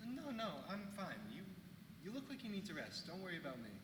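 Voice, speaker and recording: A man's voice: high-pitched, very animated, quick pace. A man acting an awkward, easygoing villager in a staged play reading, hesitant and stammering, with slangy casual speech. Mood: awkward, flustered. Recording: echoey hall, far mic, noisy.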